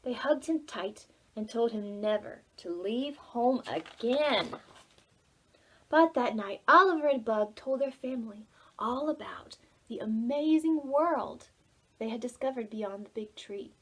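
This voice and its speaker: A woman storytime reader with a high, very animated voice. She tells a picture book to preschoolers at an unhurried pace, with dramatic expression. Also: slightly rough, poor audio. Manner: animated, dramatic, asks listeners questions. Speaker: children's library storytime reader.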